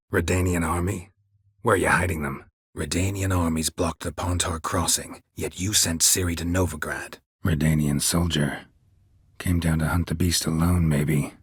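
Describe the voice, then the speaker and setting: A gruff, stoic monster hunter from a video game. His low voice is extremely husky and rasping, recorded very dry and close. He speaks in clipped, deadpan phrases with a weary, dry edge. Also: no echo, exaggerated voice. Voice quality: very husky, gravelly rasp. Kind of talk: video game character dialogue.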